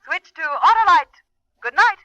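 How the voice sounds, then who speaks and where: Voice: very high-pitched, lively intonation, a little slow, pushed and bright, no room sound, heavily acted. A performer voicing an unnatural, filtered sponsor character in a clipped, emphatic 1950s radio commercial tag. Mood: brisk, selling. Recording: dull vintage 1950s radio recording.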